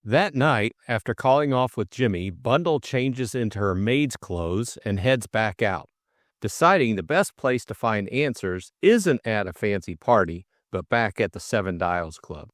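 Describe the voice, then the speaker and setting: A man narrates an audiobook from a script. His pitch moves in a lively, expressive way across each sentence. The recording is very dry and close, with little room sound. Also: measured pace, no echo.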